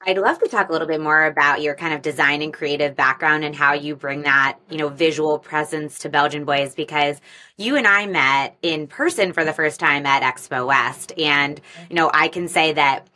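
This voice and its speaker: A woman's voice: sits low, bright, forceful tone. A woman hosting an interview podcast, upbeat and conversational as she asks questions. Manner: upbeat, rapid scripted intro then conversational questions. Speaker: podcast host.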